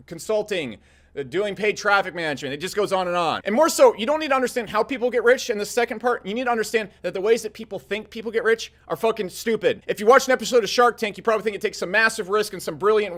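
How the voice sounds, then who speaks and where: Fast-talking blunt man in his thirties. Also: high-pitched, a bit bright. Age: 30s. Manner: blunt, profane, punchy. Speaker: entrepreneur giving business advice. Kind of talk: casual direct-to-camera advice talk.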